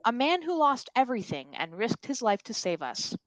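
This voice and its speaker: A woman's voice: a little high, big pitch swings, normal speed, no echo, pitched above the performer's own voice, poor audio. A woman voicing a frank, absurdly solemn female TV reporter giving a mock news report in a table read. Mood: earnest.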